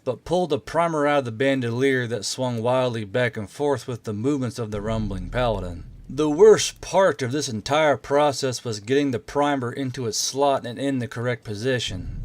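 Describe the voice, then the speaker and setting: A man reading scripted story narration as an audiobook narrator. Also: medium pitch.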